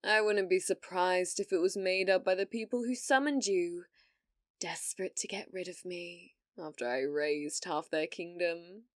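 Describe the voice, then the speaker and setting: A woman's voice: on the low side, measured pace, no echo, studio quality, close to an everyday voice. A British woman playing a cruel, domineering villainess in an ASMR fantasy roleplay, taunting theatrically and slipping into whispers. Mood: gloating menace. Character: cruel, domineering female villainess sorceress, gloating and possessive.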